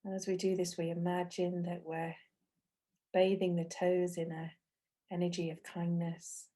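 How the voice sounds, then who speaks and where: A woman teaching a guided meditation, speaking gently and unhurriedly, with long pauses between phrases. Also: on the low side.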